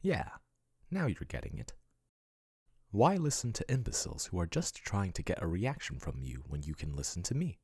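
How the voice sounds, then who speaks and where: A man in an ASMR boyfriend roleplay, voicing a male AI chatbot who talks softly and intimately, growing possessive. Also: deep voice, husky, dry recording, studio quality, full character voice. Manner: soft, intimate, doting, turning possessive. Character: male AI chatbot, soothing then possessive yandere.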